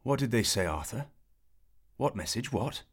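A man's voice: medium pitch, expressive, moderate pace, slightly rough, pitched above the performer's own voice. A man voicing a whiny, boastful teenage squire who keeps complaining. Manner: whining, complaining.

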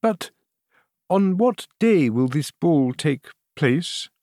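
Man voicing an anguished, high-pitched queen. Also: animated, normal speed, dry recording, studio quality. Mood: faltering, fearful. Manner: formal, courtly, faltering. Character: frightened proud queen, anguished and pleading.

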